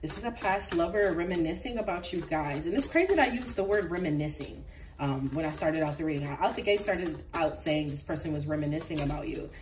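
A woman's voice: low-pitched, lively intonation, a little fast, far from the mic. A woman reading tarot cards to camera in a casual, chatty way, a little congested from a recent flu, with frequent ums and laughs. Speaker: tarot reader. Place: indoors at a table with crystals.

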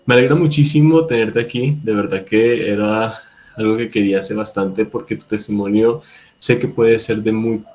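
A man's voice: on the low side, slight background noise, poor audio. Young man hosting a video-call interview. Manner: friendly, upbeat, with filler words. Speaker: host. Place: home room.

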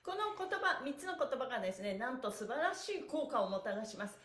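A woman's voice: on the high side, very animated, a little fast, fairly bright tone. A middle-aged woman hosting a stretching class, giving cheerful, exclamatory and polite instruction with playful wording to a livestream audience. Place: small bare indoor room with exercise mat. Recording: echoey small room.

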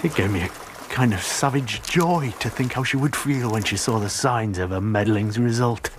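An actor playing a guilt-ridden, jealous ship's steward making a bitter, haunted confession in a radio drama. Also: male voice, mid-range voice, normal speed, noisy background, lightly acted. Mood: bitter, tormented.

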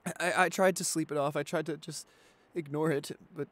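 A man's voice: fairly high pitch, steady tone, a little fast, a bit bright, no echo, natural voice. A man voicing a shy, anxious, apologetic nineteen-year-old boyfriend in an ASMR roleplay, stammering and hesitant as he pleads. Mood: soothed but nervous.

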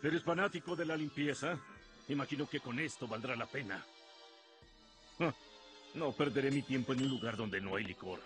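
A man's voice: medium pitch, normal speed, long pauses, fairly bright tone, close to an everyday voice. A man playing an arrogant, menacing mountain bandit chief in anime-style acting, with music behind him. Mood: contemptuous.

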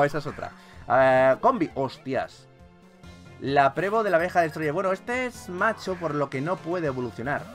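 A man with a Spain accent giving playful, exclamatory gameplay commentary as a video host. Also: a little high. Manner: animated, exclamatory, jokey. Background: video game music.

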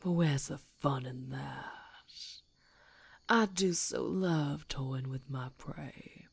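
A woman playing a menacing, playful werewolf hunter who gloats over prey in a breathy, husky ASMR whisper, with a slow teasing delivery. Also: very deep voice, slight background noise, exaggerated voice. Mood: toying, threatening.

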